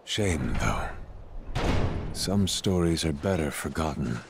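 A man's voice: very deep voice, lively intonation, slow pace, vocal fry, background noise, full character voice. An actor playing a world-weary, aloof gothic gunman in a video game, terse, somber and detached. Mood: brooding, aloof. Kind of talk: video game cutscene acting.

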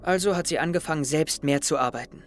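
A man's voice: a little high, fast talker, faint music behind, close to an everyday voice. A young man playing an introspective, wry teenage schoolboy who narrates his thoughts in an audio drama. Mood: wry, confiding. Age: young adult. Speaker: actor narrating as the main character. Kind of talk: audio drama inner monologue.

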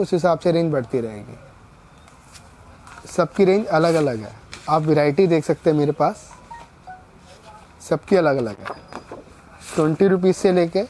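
A middle-aged man running a shop, walking a customer through products and prices in a steady, explanatory salesman's patter. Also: a little high, slight background noise. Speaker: wedding card shopkeeper. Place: small indoor shop.

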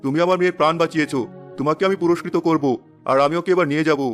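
A man's voice: a little high, steady tone, racing, natural voice. A man voicing a king in a cartoon, a father searching for his lost daughter, who speaks in a commanding, earnest way. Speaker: voice actor. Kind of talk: cartoon voice acting. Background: background music.

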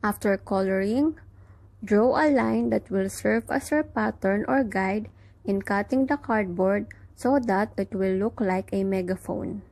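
A young woman teaching children in church, giving gentle, careful craft instructions. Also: medium pitch, measured pace, gravelly, rough recording. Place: small room at home, indoors.

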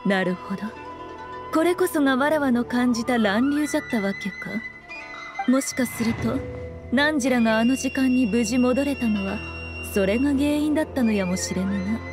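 A woman voicing a sly, regal fox shrine maiden in an anime game, with measured, knowing, old-fashioned diction. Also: a little high, close to an everyday voice. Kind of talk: Japanese anime game voice acting.

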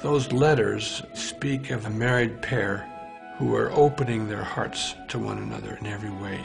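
A man's voice: fairly low pitch, normal speed, vocal fry. A man giving interview commentary on history for a documentary. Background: music.

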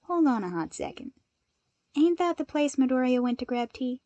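A woman voicing a gruff veteran hero dispatcher in a podfic, clipped, colloquial and bossy. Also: high voice, big pitch swings, normal speed, warm tone, dry recording, muffled recording, voice pushed up. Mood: urgent.

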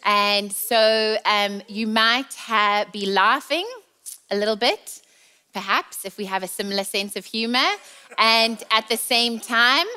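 A woman's voice: a little high, unhurried, sharp tone, clean tone. A woman in her thirties with a South African accent, preaching a sermon in a chatty, playful, teasing way on a large church stage. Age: 30s.

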